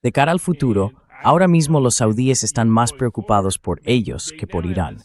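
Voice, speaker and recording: A man's voice: medium pitch, animated, normal speed. A synthetic male voice reading a translated political commentary as a voice-over. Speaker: interview guest analyst, dubbed translation.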